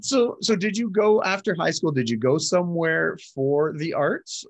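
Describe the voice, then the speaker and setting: A man's voice: fairly high pitch, moderate pace. A man interviewing a guest, asking curious questions in a friendly, conversational way.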